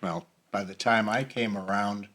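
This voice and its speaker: Casting: older American male guest, fairly low voice, dry humor, casual talk. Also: steady tone, a little slow, pushed and bright. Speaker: podcast guest. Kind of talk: casual chat.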